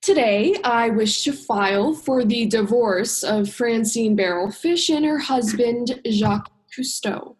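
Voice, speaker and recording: An earnest, exasperated young woman, played by an actress in a radio play. Her voice is a bit high, and her delivery is direct and emotional. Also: measured pace, few pauses, darkish tone, natural voice, rough recording. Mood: earnest, formal. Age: young adult. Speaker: drama student actor.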